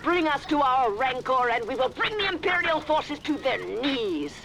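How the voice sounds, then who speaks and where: A woman playing a fierce, vengeful dark-side witch warrior in a video game, proud, solemn and intense. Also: high voice, lively intonation, normal speed. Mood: defiant. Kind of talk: scripted video game acting.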